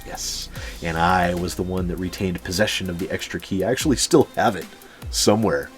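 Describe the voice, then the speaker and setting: A man's voice: deep voice, a little fast, soft background music. A man telling personal anecdotes casually as a channel host, swearing freely and chuckling as he goes. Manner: sweary, chuckles through his stories. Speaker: YouTube storyteller and channel host.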